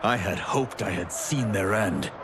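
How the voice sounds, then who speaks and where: An actor playing a grave, proud deposed emperor in a video game, speaking in a solemn, formal, measured way. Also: male voice, on the low side, very noisy, natural voice. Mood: bitter, solemn. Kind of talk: video game cutscene acting.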